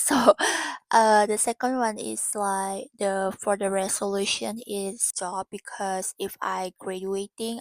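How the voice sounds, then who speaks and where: Indonesian girl in her 20s rambling about her goals in english, pretty flat voice, dry sound. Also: medium pitch, dry recording, rough recording. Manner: halting, searching for English words. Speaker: vlogger. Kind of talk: casual vlog talk about goals.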